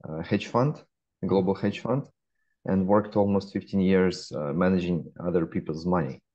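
A man in his forties with a Russian accent, answering interview questions in a measured way with frequent hesitations, heard over a webcam call. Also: low-pitched, dark tone. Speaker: guest, fintech founder. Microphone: laptop webcam. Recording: dull lo-fi call audio.

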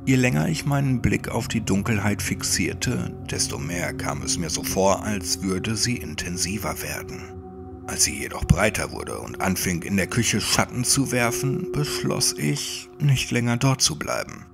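A man reads a scripted horror tale in a low voice with plenty of gravelly fry. His delivery is measured and suspenseful, in the style of an audiobook. Music plays clearly behind him. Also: animated. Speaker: horror story narrator. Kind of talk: scripted first-person creepypasta narration, audiobook.

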